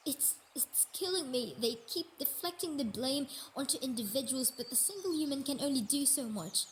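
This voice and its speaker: A woman's voice: sits high, a little hiss or hum. A woman voicing a sickly, motherly Earth goddess in an intimate roleplay monologue, hesitant and emotional, dipping toward whispers. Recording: nature ambience with birdsong behind voice.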